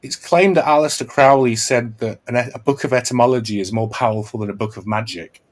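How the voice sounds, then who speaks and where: Earnest lecturing man, middle pitch. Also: quick pace. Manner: earnest, lecturing, many restarts and repeated "right?". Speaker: podcast host. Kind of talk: casual podcast chat.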